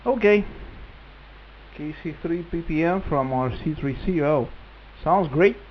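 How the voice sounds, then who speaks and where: A Russian-accented man chats as a radio amateur, slowly and haltingly, feeling for his words. The sound is a little dull, with faint noise around his mid-pitched voice. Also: expressive. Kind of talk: casual ham radio chat. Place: at home at a computer. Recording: muffled, slightly noisy radio link recording.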